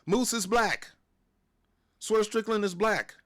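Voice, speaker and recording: A man's voice: high-pitched, takes it slowly, fairly bright tone. An American man hosts his own wrestling show in a loose, opinionated rant. He repeats his points for emphasis and stumbles into restarts as he pushes on, sounding like a younger adult talking off the cuff. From United States. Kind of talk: casual opinion rant to camera.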